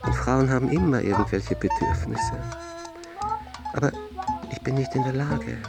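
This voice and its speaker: A man acting as a weary, stingy aging bachelor in a dry, deliberate, ironic literary monologue. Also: medium pitch, expressive, creaky. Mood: dry, sardonic. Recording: loud music under the voice.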